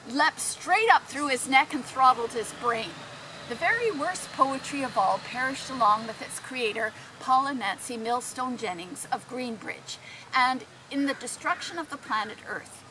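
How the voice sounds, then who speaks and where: Woman as deadpan comedy narrator, high pitch, bright tone, scripted delivery, noisy ambience behind. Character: deadpan guidebook narrator of absurd cosmic facts. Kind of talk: scripted comic radio narration.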